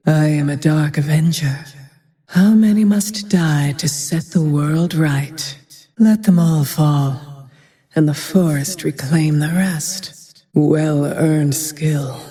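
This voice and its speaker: Grim female avenger archer for a fantasy game: slow, dark-toned, merciless and composed, in long reverb. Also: deep voice, full character voice. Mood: brooding, haunted. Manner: cold, brooding, measured, often dropping to a breathy whisper. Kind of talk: dramatic video game voice lines.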